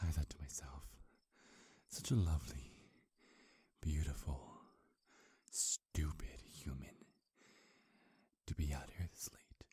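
A man playing a hungry, seductive vampire in an ASMR roleplay, murmuring and whispering close to the mic, breathy and softly menacing. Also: extremely low pitch, steady tone, no echo, heavily acted. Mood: amused, hungry. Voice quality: husky, breathy. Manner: slow, coaxing, softly menacing. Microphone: close microphone.

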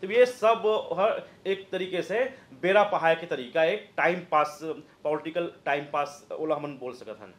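A man in his thirties with a Chhattisgarhi accent, giving conversational political commentary in an explanatory, halting manner. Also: on the high side, roomy sound. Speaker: news presenter and political analyst. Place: indoor news studio, roomy sound. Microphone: lapel mic.

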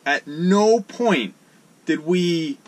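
A man in his thirties, a sailing vlogger, chatting in a mock-earnest, deadpan way from a small wooden boat cabin. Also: a little high, drawn-out words. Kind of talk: deadpan satirical chat. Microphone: camera in front at close range.

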